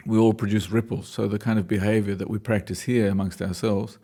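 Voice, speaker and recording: Australian guy, deepish voice, talks slow and thoughtful, keeps restarting sentences. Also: fairly even intonation, warm tone. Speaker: dancer and choreographer, class leader. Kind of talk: reflective interview answers.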